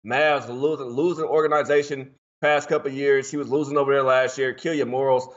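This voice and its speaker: A man's voice: medium pitch, flat delivery, a little fast, dull audio. A man from Portland, Oregon, hosting a casual sports betting show with loose, slangy banter.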